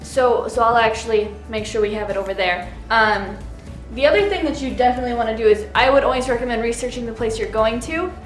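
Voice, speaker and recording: Chatty higher-voiced woman in an echoey room. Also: fast, hardly any pauses, soft background music. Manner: chatty, with frequent fillers. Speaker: co-host. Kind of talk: casual advice chat.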